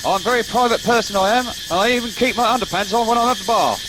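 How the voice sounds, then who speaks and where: Man as high-pitched flustered old corporal. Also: fast talker, noisy background, lightly acted. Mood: fretful. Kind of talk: comic radio play acting.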